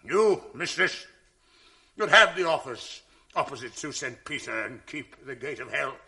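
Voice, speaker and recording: An actor playing a jealous, enraged general in a radio drama of classical verse, swinging from bitter mockery to fury. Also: male voice, medium pitch, expressive, a little slow, heavily acted. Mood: bitter mocking. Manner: bitter, mocking fury swinging soft to loud.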